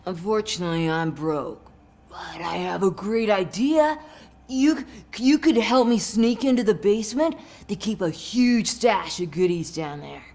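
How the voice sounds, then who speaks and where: A woman's voice: mid-range voice, unhurried, a little mellow. An actress playing a giddy, drug-addled fan in a video game, gushing and rambling erratically as she wheedles. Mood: conspiratorial, wheedling.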